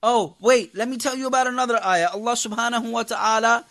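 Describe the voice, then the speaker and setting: High-pitched man preaching emphatically. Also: steady tone, fast, fairly bright tone. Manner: emphatic, repeats key phrases, puts rhetorical questions to listeners. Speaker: imam teaching a religious class. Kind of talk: impassioned religious lecture to a congregation.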